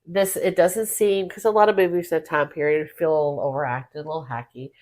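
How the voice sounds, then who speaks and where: A woman's voice: low-pitched, steady tone, quick pace, darkish tone. A woman co-hosting a podcast, talking casually in discussion. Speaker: podcast co-host.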